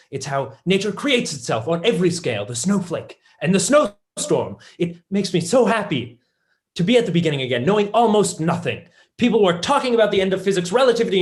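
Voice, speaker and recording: Young guy playing an excitable math nerd in a play reading, kinda high voice, super animated, blunt. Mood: explaining. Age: young adult. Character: passionate young male mathematician, blunt and excitable.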